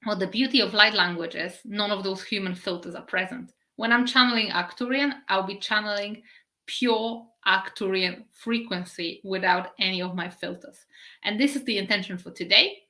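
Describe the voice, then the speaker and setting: A middle-aged woman from Poland gives a spiritual live-stream talk. Her voice is bright and forward, and she stretches out key words slowly. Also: mid-range voice. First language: Polish. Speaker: spiritual teacher.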